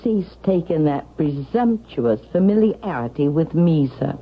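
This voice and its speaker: An actress playing an imperious, suspicious elderly widow with a haughty, deliberate, sharp-tongued delivery in a 1970s radio drama. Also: female voice, sits low, expressive, husky, full character voice. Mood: commanding, icy. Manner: haughty, deliberate, pointed questioning. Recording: dull, hissy vintage radio recording.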